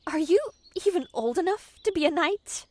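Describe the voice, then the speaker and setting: A woman playing a high-strung, excitable fantasy heroine in an audio drama, with faint music underneath. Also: normal speed. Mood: frightened, desperate.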